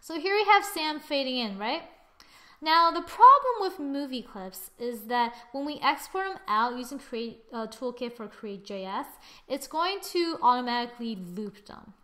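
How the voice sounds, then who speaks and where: Female tutorial presenter, slightly high voice, informal step-by-step delivery, thinking aloud. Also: animated, moderate pace, pushed and bright. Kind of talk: casual software tutorial narration.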